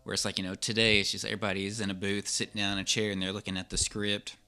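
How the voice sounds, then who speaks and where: A man co-hosting a podcast in casual chat, rambling along in a halting way. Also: fairly low pitch, flat delivery, bright, forceful tone, faint music behind. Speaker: podcast co-host.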